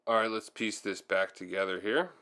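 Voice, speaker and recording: Male tutorial host for hands-on repair narration; lower register, smooth clear tone, informal delivery. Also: normal speed, sharp tone. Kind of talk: hands-on how-to narration, casual.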